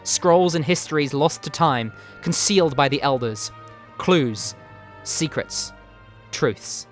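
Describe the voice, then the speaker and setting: Higher-pitched man, dramatic story narrator. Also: lively intonation, slow. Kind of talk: dramatic fantasy story reading. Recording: music behind the voice. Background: background music.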